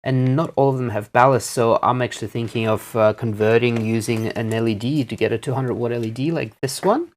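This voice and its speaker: A man presenting a casual hands-on electronics demonstration, explaining things in a halting way. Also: medium pitch. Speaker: presenter reviewing electronics on video.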